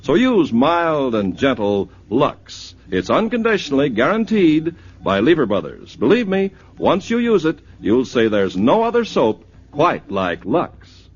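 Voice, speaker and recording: A man's voice: on the high side, huge pitch swings, unhurried, breathy edge, muffled recording. A male radio announcer with a polished, persuasive 1950s ad-reading delivery.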